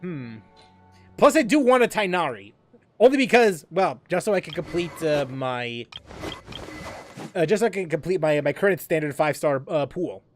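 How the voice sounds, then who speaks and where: A man's voice: on the high side, animated, normal speed, soft background music. A man casually chatting while streaming a game, rambling with lots of fillers and restarts as he reads chat aloud.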